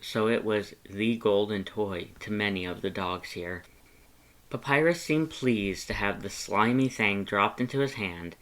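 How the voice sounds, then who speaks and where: A man's voice: fairly low pitch, a bit bright. A man reading scripted story narration, as the narrator of a piece of fiction.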